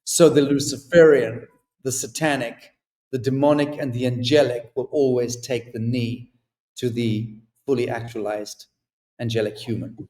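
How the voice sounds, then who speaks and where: A man's voice: mid-range voice, fairly even intonation, moderate pace, a little mellow, echoey room. A man as a podcast guest, delivering spiritual ideas in an emphatic, declamatory way. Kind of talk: spiritual talk, interview answers.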